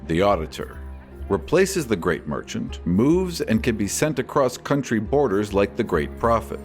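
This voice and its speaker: Male podcast host, fairly low and very expressive, reading mailbag questions and prepared explanations over soft background music. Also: normal speed. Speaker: podcast host and game master answering fan questions. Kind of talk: reading fan questions and scripted answers.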